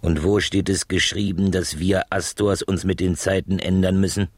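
A haughty, obstinate grandfather in a radio play. The old man's voice is low and husky, recorded dry. He grumbles with stiff family pride. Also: little pitch movement, normal speed, no room sound. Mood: stubborn, indignant. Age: 80s. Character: stubborn, proud old grandfather over eighty. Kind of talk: German radio play acting.

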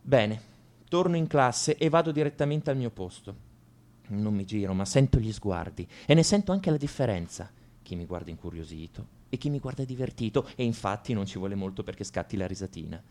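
Un attore che interpreta un liceale tormentato e amareggiato, in un monologo confessionale di radiodramma, con enfasi riflessiva. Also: male voice, fairly low pitch, lively intonation, fairly quick, a little mellow, natural voice. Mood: tense recounting. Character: brooding male high school student confessing to violence. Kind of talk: radio drama monologue, first-person narration.

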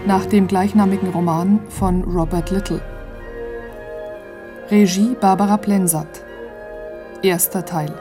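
A woman's voice: mid-range voice, steady tone, slow, pauses often, over music. A woman announcing the titles and credits of a radio play in a scripted style.

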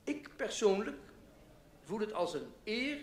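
An actor playing a pompous literary newspaper writer giving a florid, formal speech in a radio play. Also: male voice, high voice, big pitch swings. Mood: self-satisfied. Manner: pompous, florid, measured oratory. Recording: echoey hall, public address.

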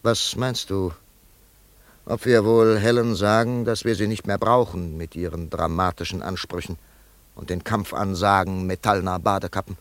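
An actor playing a wealthy, grieving older father in a German radio play, brooding and yearning in a halting monologue. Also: low voice, fairly even intonation, dry recording. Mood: wistful, brooding. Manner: slow, halting, brooding delivery with sudden swells.

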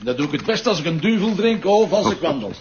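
Stubborn, wry Flemish police commissioner in crime drama, restless, raised pitch, muffled sound. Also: male voice, lively intonation, quick pace. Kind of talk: broadcast crime drama acting. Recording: dull lo-fi sound with hiss.

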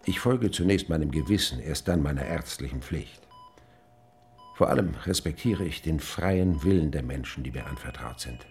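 An actor playing a grave, conscientious doctor in a German radio drama, speaking in a deliberate, solemn, measured way. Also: male voice, extremely low pitch, lively intonation, a little mellow, slight background noise. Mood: grave, insistent.

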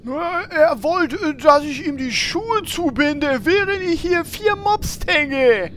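Female actor as slow-witted petulant tank warrior, comic fantasy radio play, high voice with swooping intonation. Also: expressive, slight background noise. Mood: miffed. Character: dim-witted, easily offended male warrior tank named Horst. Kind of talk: fantasy audio drama parody.

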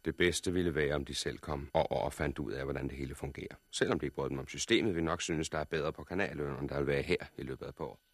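An actor in a 1960s radio drama playing an authoritarian, pragmatic male colony spokesman, measured and matter-of-fact. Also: very deep voice, fairly even intonation, no room sound.

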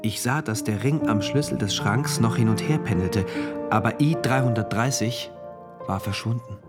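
A man's voice: fairly low pitch, moderate pace, loud music behind the voice, close to an everyday voice. An actor playing a troubled, introspective male diarist who narrates a dystopian radio drama in diary entries. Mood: uneasy.

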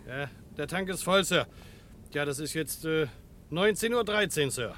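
An actor playing a courteous gas station attendant in an old radio crime play, deferential and always adding "sir". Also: male voice, on the high side, animated, measured pace, a bit bright, slight background noise. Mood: obliging. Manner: deferential, says sir constantly. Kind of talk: 1950s-80s radio drama acting.

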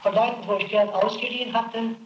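Defensive man, high voice, quick talk. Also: fairly even intonation, reverberant, a little hiss or hum, rough recording. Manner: defensive, evasive answers. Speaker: witness under interrogation. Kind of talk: archival interrogation recording.

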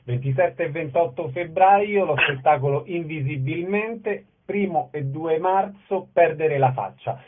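A man's voice: fairly high pitch, measured pace, hardly any pauses, very noisy. Male radio interviewer, slightly muffled broadcast sound. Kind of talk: radio interview, introducing guests and shows. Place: radio programme. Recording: band-limited radio broadcast audio.

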